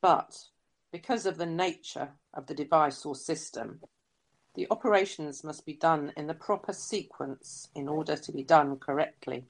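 A woman voicing a cool, calculating hacker entity in a scripted science fiction audio drama, measured and formal. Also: sits low. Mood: measured, explanatory.

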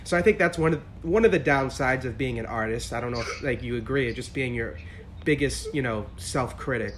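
A man hosting an interview with a higher pitched voice, asking eager, rambling questions. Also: a little fast, a little hiss or hum. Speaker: interview host. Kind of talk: casual interview conversation.

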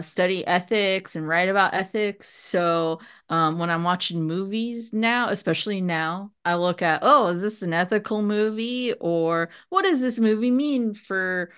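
A woman in her thirties hosting a podcast, talking in a relaxed, casual way with lots of ums. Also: lowish voice, clean tone. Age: 30s. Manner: relaxed, hesitant, many ums. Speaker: podcast host. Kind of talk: casual chat.

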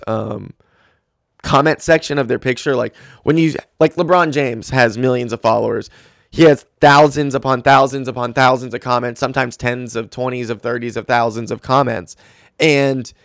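A man's voice: medium pitch, no room sound, studio quality. A man in his twenties hosting a solo podcast, talking casually and rambling with lots of fillers. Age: 20s. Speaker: podcast host.

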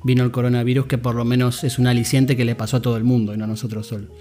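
A man's voice: medium pitch, little pitch movement, mellow, faint music behind. Argentine man hosting a chatty podcast. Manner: friendly, rambling, halting with fillers. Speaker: podcast host. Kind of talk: casual interview chat.

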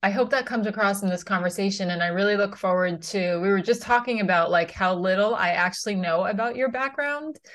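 A woman's voice: mid-range voice, fairly quick, hardly any pauses, fairly bright tone. A middle-aged woman hosting a podcast interview, chatty and upbeat with frequent fillers. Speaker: podcast host.

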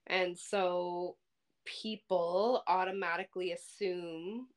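A woman's voice: on the low side, steady tone, slow. A woman in her thirties with a young-sounding voice, chatting casually and playfully as a podcast co-host. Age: 30s. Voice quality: youthful-sounding. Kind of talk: casual chat.

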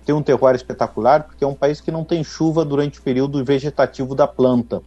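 A middle-aged man from Brazil, a winemaker guest in a radio interview, explaining in a measured way with frequent tag questions. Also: mid-range voice, fairly even intonation, dark tone, dry recording, highs cut off, soft background music.

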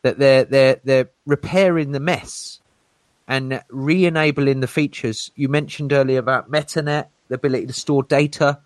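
A man's voice: fairly even intonation, normal speed, no room sound. A man hosting a casual crypto talk show, playful and showy, laughing often. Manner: playful showman, jokey put-on low announcer voice, laughs a lot. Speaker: crypto channel host.

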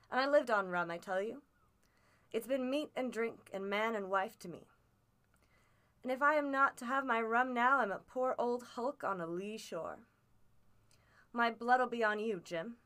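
A cursing old sea captain, sick in bed, as a woman reader puts him on, with rough sailor slang. His voice is feeble yet hearty and pushed a little louder than the narration, with a bright edge. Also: on the high side, normal speed, long pauses, natural voice. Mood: ranting. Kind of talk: audiobook character voice.

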